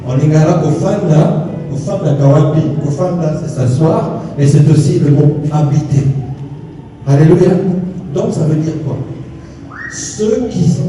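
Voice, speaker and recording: A male pastor whose first language is Swahili, preaching an emphatic sermon full of repeated calls for amen and alleluia. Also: fairly high pitch. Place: church hall. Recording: distant voice with long church hall reverb.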